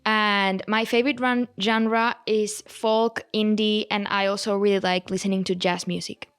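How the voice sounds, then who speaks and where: A nineteen-year-old woman with a Spanish accent speaks as a student podcast panelist. Her voice is clear and resonant. She argues her point earnestly. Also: mid-range voice, little pitch movement, normal speed.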